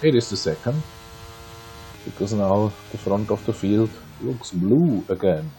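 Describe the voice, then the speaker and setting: A man's voice: fairly low pitch, expressive, unhurried, warm tone, slight background noise, low-quality recording. A man from Austria with an Austrian accent and German as his native language, giving conversational, wry live racing commentary with playful asides. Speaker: sim racing league commentator.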